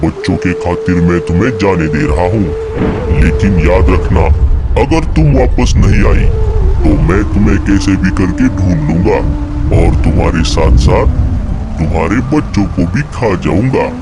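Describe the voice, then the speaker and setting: Cartoon villain: menacing bird of prey, male performer, deep voice, slow threats, heavy music bed. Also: expressive. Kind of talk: Hindi children's cartoon dialogue. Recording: loud music under the voice.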